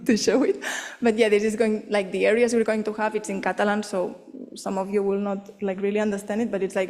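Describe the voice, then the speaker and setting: A woman's voice: medium pitch, darkish tone. Woman presenting in a reverberant hall. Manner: hesitant, many fillers and "like". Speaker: symposium presenter. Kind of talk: conference talk and Q&A answers. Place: conference hall.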